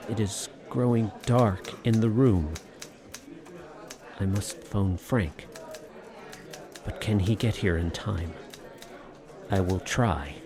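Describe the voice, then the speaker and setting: A man's voice: lowish voice, slow, pauses often, crowd noise. An actor playing a grave, haunted, learned man in a radio drama, reciting dramatically. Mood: reading, dread.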